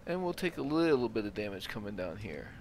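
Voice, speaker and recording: A man giving a casual, explanatory walkthrough of a video game as he plays, in an unhurried way. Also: on the low side, sing-song. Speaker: let's player. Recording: game ambience noise behind voice. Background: video game ambience.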